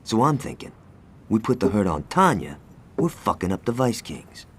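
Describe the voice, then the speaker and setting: A hot-tempered gang enforcer in a crime game cutscene, blunt and sarcastic, swearing freely. His pitch swings widely as he talks, rising sharply when he blows up. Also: sing-song, normal speed, husky. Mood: scheming. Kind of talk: video game cutscene acting.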